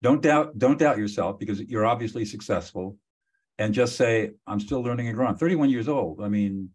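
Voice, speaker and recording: Husky-voiced man in his seventies. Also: fairly low pitch, moderate pace. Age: 70s. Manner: warm, emphatic, encouraging. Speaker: podcast host. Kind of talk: interview conversation.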